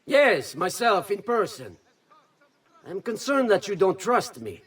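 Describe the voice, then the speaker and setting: An actor playing a sly, nervous government official in a video game, smug and then defensive. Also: male voice, sits high, very animated, a little slow, fairly bright tone, breathy edge, full character voice. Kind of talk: video game cutscene acting.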